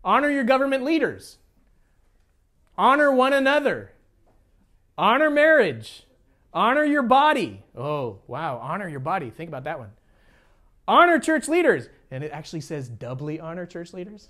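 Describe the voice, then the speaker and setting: High-voiced, animated American male pastor. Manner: informal, jokey asides, repeats phrases for emphasis. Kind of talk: sermon, teaching a congregation conversationally.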